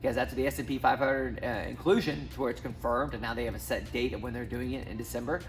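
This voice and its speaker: A man's voice: medium pitch, steady tone, fast, soft background music, low-quality recording. Rambling man in his thirties. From Southwest Missouri, USA. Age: 30s. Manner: rambling, many ums and restarts. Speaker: finance YouTuber. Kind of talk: casual talking-head vlog.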